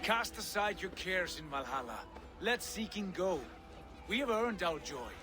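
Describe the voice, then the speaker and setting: An actor playing a boisterous viking warrior who booms out battle cries in a video game cutscene. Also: male voice, expressive, unhurried, over music. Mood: calm. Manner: booming, declamatory battle cries. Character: boisterous male viking warrior brother in the afterlife.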